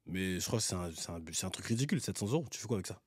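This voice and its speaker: A man with a fairly low voice talks in a casual panel discussion. He is halting, searching for words, and the sound is close and dry. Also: expressive, husky, no echo.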